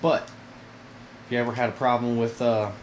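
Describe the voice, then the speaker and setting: A man giving a casual hands-on product demonstration to viewers, informal and halting, with frequent pauses. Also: medium pitch, little pitch movement. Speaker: product reviewer, video host. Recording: noisy amateur camera audio.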